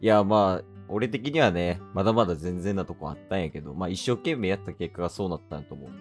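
A man playing a friendly, slightly flustered teenage boy with a Kansai accent, in halting casual conversation for an improvised radio drama. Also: low voice, soft background music, natural voice. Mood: sincere, nervous.

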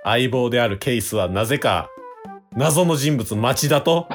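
A man's voice: medium pitch, animated, unhurried, faint music behind. A man with a Kansai accent co-hosting a casual comedy radio show.

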